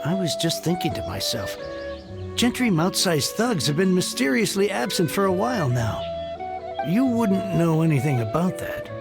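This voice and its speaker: Harried game shopkeeper, stammery, high creaky voice, all over the place. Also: male voice, very animated, moderate pace, music in the background, heavily acted. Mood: wary. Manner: stammering, flustered. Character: anxious, harried male merchant, flustered and easily astonished. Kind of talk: video game character acting.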